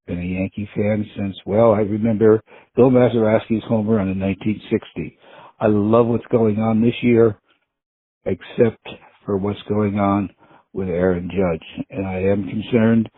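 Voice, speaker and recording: An American man in his seventies leaving a casual sports voicemail as a caller, with a husky voice. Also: fairly low pitch, fairly even intonation, measured pace, no room sound. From Pennsylvania, United States. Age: 70s. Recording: muffled narrow phone line.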